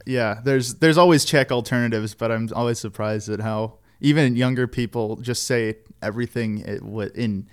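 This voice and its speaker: A young man chatting casually on a podcast, relaxed and a bit hesitant. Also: mid-range voice, normal speed, hardly any pauses, clear, resonant tone. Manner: relaxed, hesitant, with fillers and restarts. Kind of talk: casual chat.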